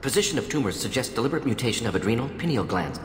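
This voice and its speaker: An actor playing an alien scientist in a video game, clinical and opinionated, speaking in clipped, analytical fragments. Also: male voice, mid-range voice, big pitch swings, rapid-fire, a little hiss or hum, close to an everyday voice. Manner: clipped telegraphic sentences, dropping small words, analytical. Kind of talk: video game character acting. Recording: echoey hall reverb, music behind. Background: game music.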